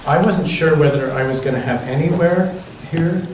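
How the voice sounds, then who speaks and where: A man reading a picture book aloud to children in a church, pausing to put questions to them. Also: on the high side, darkish tone. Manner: pauses to ask the listening children questions. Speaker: church children's story reader. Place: large echoey church interior. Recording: very echoey church room, distant mic, faint noise.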